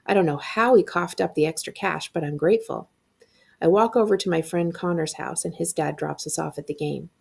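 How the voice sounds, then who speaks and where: A woman librarian reading a middle-grade novel aloud in an expressive, acted-out storytelling style. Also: fairly low pitch, a little fast, vocal fry, breathy edge.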